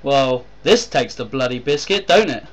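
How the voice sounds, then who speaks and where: A man reading a story aloud, voicing an indignant, rough-spoken working-class laborer. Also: medium pitch, animated, normal speed, pitched above the performer's own voice, close to an everyday voice.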